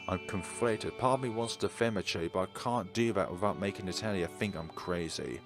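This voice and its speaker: A low-voiced man reads story narration aloud as a let's player. He delivers it like a dramatic storyteller, with faint music playing behind him. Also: a little fast. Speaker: let's player reading a visual novel aloud.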